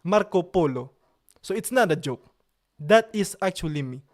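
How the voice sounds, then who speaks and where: A man's voice: high-pitched, expressive, moderate pace. A man with an Italian accent, hosting a casual live stream from his computer, playful and joking and repeating himself. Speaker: livestream host.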